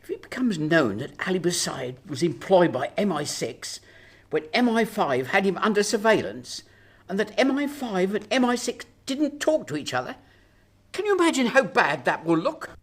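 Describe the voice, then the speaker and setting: A woman acting in a radio drama as a sharp-tongued, anxious Prime Minister, interrogating with emphasis and sarcasm. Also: mid-range voice, sing-song, moderate pace, slightly rough. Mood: angry, emphatic.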